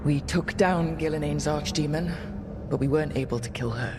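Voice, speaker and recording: Actor as burdened squad leader, medium pitch, earnest, plain-spoken, subdued, game drama, noisy background rumble. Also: normal speed, close to an everyday voice. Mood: regretful, heavy. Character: earnest, burdened young team leader fighting the gods. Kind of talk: dramatic video game dialogue.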